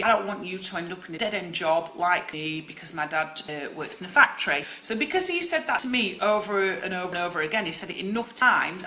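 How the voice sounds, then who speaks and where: A young woman, an acting coach, teaching in a loud, projecting and direct way on a live stream. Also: medium pitch, reverberant, poor audio.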